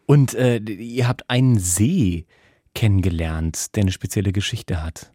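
A male host steers the chat with short prompts and follow-up questions. His voice is low, dark and husky, recorded dry and close. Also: a little slow, dry recording. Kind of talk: radio-style interview.

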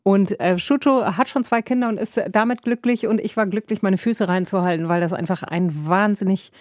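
A woman's voice: medium pitch, a little fast, a little mellow, dry recording, clean studio sound. A woman working as a travel reporter, telling travel stories in a chatty, rambling way with fillers. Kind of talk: casual storytelling conversation.

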